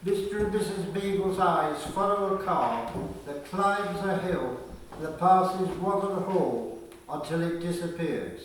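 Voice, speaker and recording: A man narrating a scripted radio play in a measured, deliberate storytelling manner. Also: high voice, a little hiss or hum. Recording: very echoey, distant from the mic.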